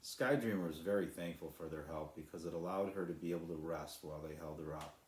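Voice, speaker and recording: A man's voice: low-pitched, fast, darkish tone, slight background noise. A man narrating a children's storybook as its author, reading aloud. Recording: roomy echo.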